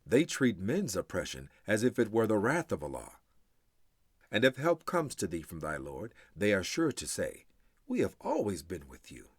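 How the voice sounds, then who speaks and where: Deep-voiced man reciting scripture with dramatic swings. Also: dry recording, studio quality. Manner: solemn, declamatory, measured phrasing with deliberate pauses. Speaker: reader of scripture.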